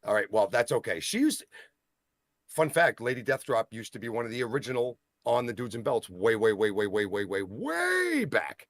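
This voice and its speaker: Rambling excitable Boston man. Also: mid-range voice, moderate pace, no echo, clean studio sound. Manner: rambling, self-interrupting, excitable. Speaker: wrestling show co-host. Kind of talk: casual wrestling review chat.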